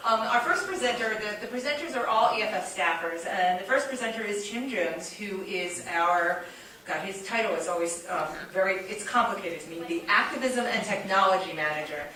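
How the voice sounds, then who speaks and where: A woman hosting an event, introducing presenters informally and haltingly as she searches for words. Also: mid-range voice, lively intonation, sharp tone. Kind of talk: informal spoken introduction to an audience. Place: large echoey event room. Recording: echoey hall, distant microphone.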